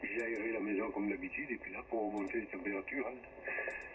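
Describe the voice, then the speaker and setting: A man's voice: fairly low pitch, fairly even intonation, fairly quick. A man chatting casually as an amateur radio operator over a shortwave transmission. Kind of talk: casual ham radio chat. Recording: muffled, noisy ham radio reception. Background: radio static and hiss.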